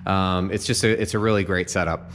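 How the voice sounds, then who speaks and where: Low-voiced man giving a casual walkthrough. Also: steady tone, a little fast, fairly bright tone, slight background noise. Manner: conversational, frequent "okay?" check-ins and "um" fillers. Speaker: home sales guide. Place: unfinished house interior, open framing.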